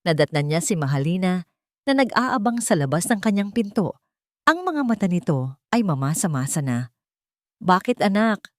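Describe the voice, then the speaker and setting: A woman's voice: lowish voice, sing-song, normal speed, a little mellow, no room sound, clean studio sound. A woman with a Filipino accent reading a scripted dramatic story as narrator.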